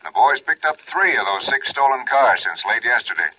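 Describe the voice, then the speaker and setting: An American actor playing a dry auto-theft police officer in a 1940s radio crime drama, businesslike and procedural. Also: male voice, medium pitch, expressive, fairly quick, lightly acted. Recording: muffled 1940s radio recording.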